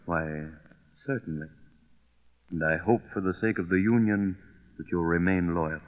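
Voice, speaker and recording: An actor playing a formal, earnest government envoy who pleads respectfully, in old-time radio drama style. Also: male voice, low-pitched, normal speed, big-room sound, natural voice. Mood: formal, urging. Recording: dull vintage radio recording.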